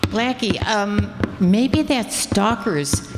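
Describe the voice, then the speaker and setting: A woman's voice: medium pitch, animated, slow. Woman as detective's plucky sweetheart, echoing hall. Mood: nervous, watchful. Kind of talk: old-time radio detective drama. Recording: echoing hall with background noise.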